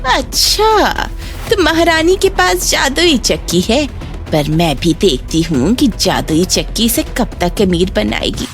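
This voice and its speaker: A woman's voice: on the high side, sing-song, takes it slowly, soft background music, full character voice. A woman voicing a greedy, jealous neighbour woman in a children's moral story, with a sarcastic, melodramatic manner. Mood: spiteful, scheming.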